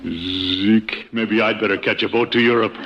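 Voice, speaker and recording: An actor playing an uneasy suitor in a 1950s radio comedy, on an old broadcast recording. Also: male voice, on the low side, steady tone, unhurried. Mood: uneasy, amused. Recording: noisy, muffled vintage radio recording.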